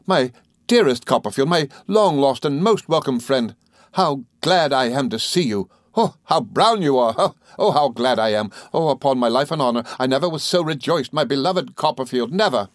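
Man performing good-natured gentleman, audiobook character, high and very animated pitch, gushing, excitable exclamations. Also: normal speed, no echo, clean studio sound, natural voice. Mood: overjoyed. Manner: effusive, exclaiming, repeats himself excitedly. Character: exuberant, gushing, good-natured young gentleman, old friend.

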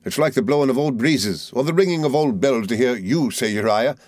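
A man's voice: mid-range voice, lively intonation, fairly quick, darkish tone, dry recording, pitched above the performer's own voice, close to an everyday voice. A man reading aloud and voicing a fawning, falsely humble young male clerk with a wheedling, obsequious manner.

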